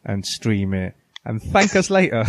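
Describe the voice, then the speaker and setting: A man's voice: fairly low pitch, big pitch swings, measured pace, no echo. A man chatting casually as a podcast co-host. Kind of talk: casual chat.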